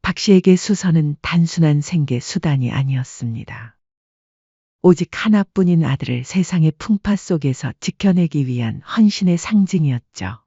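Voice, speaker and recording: Male narrator, measured storytelling, husky and mellow, dry dull sound. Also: on the high side, animated, dry recording.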